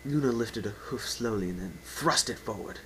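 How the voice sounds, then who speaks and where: A man's voice: on the low side, normal speed, pushed and bright, a little hiss or hum, poor audio. A man narrating an unedited dramatic story reading with theatrical, emphatic delivery. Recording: amateur home recording with faint whine.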